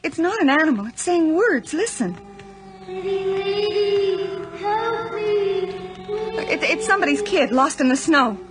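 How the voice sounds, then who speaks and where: A woman's voice: very high voice, expressive, slow, long pauses, over loud music, full character voice. An actress playing a frightened young female student in a radio drama, guarded yet tender, hesitant in her speech. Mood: alarmed, urgent. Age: young adult. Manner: hesitant, breathy murmurs and urgent pleas. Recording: noisy, clicky old radio drama recording.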